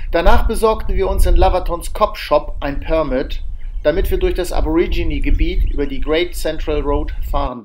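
A man's voice: a little high, darkish tone, rough recording. A man narrating his outback road trip as a voice-over, matter-of-fact with wry asides. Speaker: travel vlogger narrating. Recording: faint background noise.

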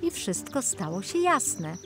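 Unhurried woman narrating a children's story. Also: medium pitch, very animated. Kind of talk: scripted children's audiobook narration. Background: music.

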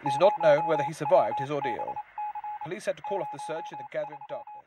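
A man's voice: fairly high pitch, wildly swooping pitch, fast, very noisy. A man playing a formal but easily smitten news announcer in a comic radio play. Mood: formal, admiring. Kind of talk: comic scripted radio bulletin.

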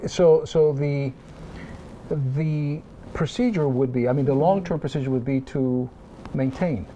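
A man's voice: on the high side, measured pace, dark tone, slight background noise, poor audio. A middle-aged man hosting an interview at a conference, chatty and effusive, often restarting his sentences. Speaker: interview host. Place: conference room, indoors.

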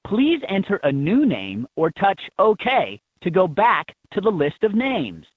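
A man reading scripted instructions for a children's learning game in an expressive, instructive manner. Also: high voice, a little slow, slightly rough, no echo. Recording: thin, phone-like lo-fi game audio.

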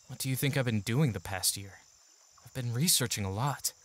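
An actor voicing a serious, curt young mercenary in a fantasy audio drama. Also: male voice, mid-range voice, normal speed, fairly bright tone, natural voice. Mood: focused, hushed. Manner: curt, earnest, tactical. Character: serious, gruff-mannered young male mercenary in training. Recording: faint music behind the voice.

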